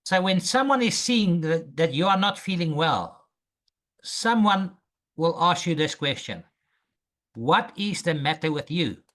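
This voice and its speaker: A man teaching an English lesson, speaking slowly and patiently and repeating phrases for a student to copy. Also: fairly high pitch, lively intonation, a bit bright. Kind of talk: language lesson drills.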